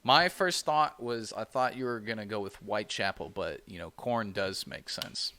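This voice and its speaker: A man co-hosting a podcast in casual chat, with a low voice, rambling and filling pauses with "you know". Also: sharp tone, a little hiss or hum. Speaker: podcast co-host.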